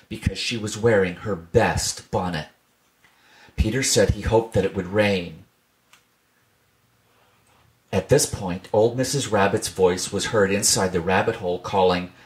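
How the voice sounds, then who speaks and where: A man reading a classic children's storybook aloud in a gentle, measured storytelling manner. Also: fairly low pitch. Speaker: reader.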